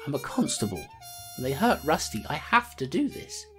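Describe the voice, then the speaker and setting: A woman's voice: on the low side, huge pitch swings, moderate pace, fairly bright tone, faint music behind. A woman playing a determined constable who talks bluntly and reasons things out aloud.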